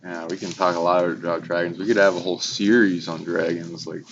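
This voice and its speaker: Meandering low-voiced man, lo-fi noisy sound. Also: flat delivery, fairly quick. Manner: meandering, enthusiastic, informal. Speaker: talk show co-host. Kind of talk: casual spiritual discussion. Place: informal recording by a fire. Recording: muffled lo-fi, clear background noise.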